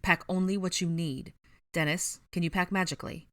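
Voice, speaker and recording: A woman's voice: on the low side, steady tone, moderate pace, a bit bright, no echo, clean studio sound, natural voice. A woman voicing a young male leader in an audiobook, giving orders in an urgent, commanding way. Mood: brisk, controlled.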